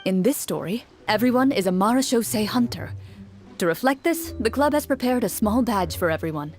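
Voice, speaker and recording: Actress as a calm game master, even mid-range voice, measured rule explanations. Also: female voice, mid-range voice, soft background music, lightly acted. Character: composed adult woman running a tabletop game. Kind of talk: game cutscene voice acting.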